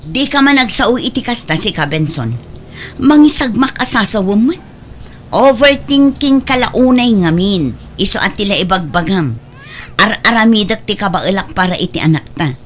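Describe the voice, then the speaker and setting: A woman's voice: mid-range voice, animated, moderate pace, a little hiss or hum, lightly acted. A woman playing a defensive, exasperated wife and indulgent mother in an audio drama, speaking in a complaining manner.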